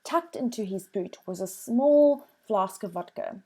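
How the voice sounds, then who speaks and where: A woman's voice: medium pitch, animated, measured pace, mellow. A woman narrating literary fiction as an audiobook reader, following a scripted text.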